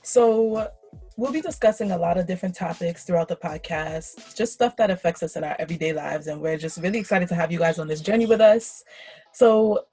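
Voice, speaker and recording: Upbeat young woman hosting, lower voice. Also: warm tone, slightly rough. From Queens, New York. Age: 20s. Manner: upbeat, playful, leads the talk. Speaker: podcast host. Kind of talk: casual group podcast chat.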